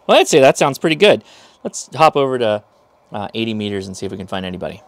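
A man's voice: lowish voice, big pitch swings, quick pace, no echo. A man in his thirties giving a casual hands-on review as he demonstrates a device. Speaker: host, product reviewer. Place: small indoor workshop room.

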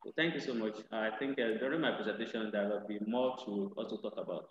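A man from Nigeria, introducing himself as a research scientist on a video call, halting with many uhs. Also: on the low side, slight background noise. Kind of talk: panel discussion self-introduction. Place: indoor room, video call. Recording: very echoey, reverberant, muffled.

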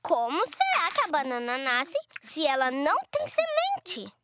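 A woman's voice: high voice, huge pitch swings, measured pace, heavy background noise, exaggerated voice. A woman voicing a curious, bubbly little girl in a children's cartoon, eager and full of questions. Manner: eager, inquisitive, exclaiming. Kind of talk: animated children's cartoon dialogue. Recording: music bed under the voice.

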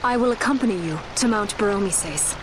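A woman's voice: mid-range voice, lively intonation, fairly quick, background noise, close to an everyday voice. A young woman playing a proud, wounded warrior princess in a video game cutscene, with formal, guarded, regal speech over music. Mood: reserved. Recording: orchestral music behind the voice.

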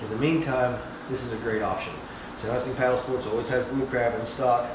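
A man's voice: medium pitch, steady tone, fairly quick. Easygoing man in an echoey bait room. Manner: easygoing, many "uh" fillers, step-by-step. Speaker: fishing channel host. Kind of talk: casual how-to demonstration. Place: small indoor bait room, hard walls. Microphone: wide camera several feet away. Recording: roomy echo, clear background hum.

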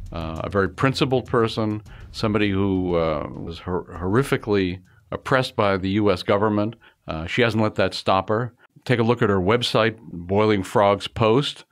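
An older man hosting an interview show, introducing his guest and asking questions with frequent pauses. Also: fairly low pitch. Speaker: show host. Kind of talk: interview host.

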